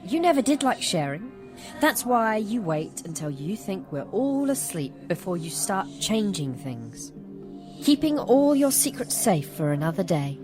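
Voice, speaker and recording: A woman playing a taunting, secretly protective little brother in an audio drama, speaking deliberately. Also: mid-range voice, sing-song. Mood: taunting, sly. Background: music.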